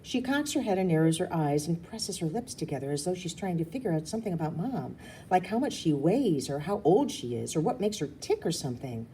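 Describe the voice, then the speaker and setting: Female storybook reader, low and mellow, informal, lively intonation, small slips left in. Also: fairly quick, a little hiss or hum, rough recording. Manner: stumbles and corrects herself. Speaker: reader of a children's novel. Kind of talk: storybook read-aloud, informal.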